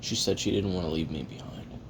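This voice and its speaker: A man's voice: steady tone, fairly quick. A man voicing a jaded, hard-drinking assassin recording his memoirs in a wry, profane, self-deprecating, rambling confession for an audio roleplay. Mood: subdued, reflective. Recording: audible hiss and low rumble.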